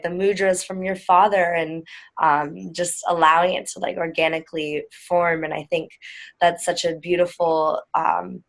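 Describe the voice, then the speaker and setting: A woman hosting an interview on a video call, speaking in a gentle, affirming way. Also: on the low side. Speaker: host. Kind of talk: casual interview.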